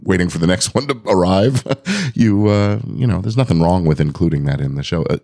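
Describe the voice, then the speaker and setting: A man hosting a podcast interview, with a booming, robust voice, asking questions in a relaxed, conversational way. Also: a little fast, gravelly, no room sound. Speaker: podcast host.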